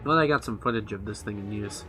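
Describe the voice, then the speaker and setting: A man with a bright, forward voice pitched a little low chats through a let's play. He is offhand and musing, talking conversationally over faint game music. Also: fast, rough recording. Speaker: gamer commentating on his play. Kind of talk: casual let's play commentary.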